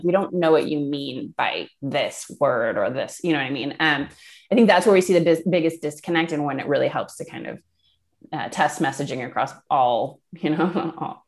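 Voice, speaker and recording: Female podcast guest, low voice, conversational and explanatory, informal phrasing with casual fillers. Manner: explanatory, peppered with "you know" and "kind of". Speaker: guest, product marketing manager. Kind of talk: conversational podcast interview answers.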